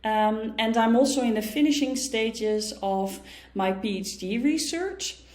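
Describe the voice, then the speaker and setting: A woman in her thirties with a Dutch accent, a history teacher giving a pre-recorded conference talk in a measured way, with frequent ums and uhs. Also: a little high, a little mellow, roomy sound. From Netherlands.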